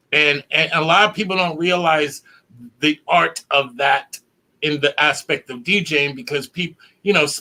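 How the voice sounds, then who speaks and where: A male podcast host talks in an animated, emphatic way. His voice is fairly high and bright, with a forward edge. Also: rough recording. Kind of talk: casual interview.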